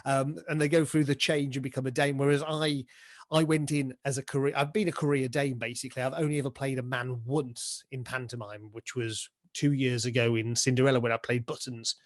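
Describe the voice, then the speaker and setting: A man's voice: medium pitch, little pitch movement, a little fast, dry recording, studio quality. A man chatting and explaining as an interview guest, with a jokey, talkative manner. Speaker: interview guest, pantomime dame and director. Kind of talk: casual explanatory interview talk.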